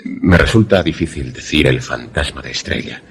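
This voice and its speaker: A man's voice: extremely low pitch, lively intonation, moderate pace, vocal fry, full character voice. A man playing a grave, questioning protagonist who narrates a suspense radio drama in formal, literary language. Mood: awed, narrating. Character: grave, questioning male narrator-protagonist caught in eerie events.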